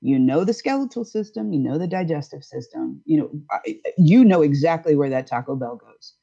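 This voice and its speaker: A woman's voice: low-pitched, animated, fairly quick, gravelly, warm tone, lo-fi sound. A woman in her fifties, a sex educator, explaining things frankly and easily in a casual podcast chat. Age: 50s.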